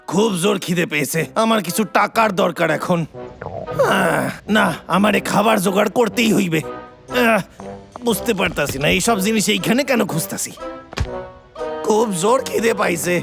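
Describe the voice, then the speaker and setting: Cartoon schemer: money-hungry, excitable, creaky high voice. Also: male voice, moderate pace. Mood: whining, hungry. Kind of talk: animated cartoon dubbing. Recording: music behind the voice.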